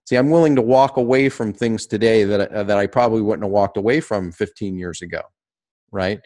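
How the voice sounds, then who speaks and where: The guest on a business podcast, a man in his late forties sharing personal lessons in a fairly low voice. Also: fairly quick, darkish tone. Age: late 40s. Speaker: interview guest, entrepreneur. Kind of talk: conversational personal reflection.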